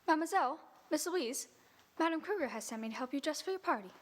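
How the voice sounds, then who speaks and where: A woman's voice: high-pitched, fast talker. A woman playing a chatty French maid. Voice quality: broken English, French phrases. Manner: lively, flattering. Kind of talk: radio drama acting.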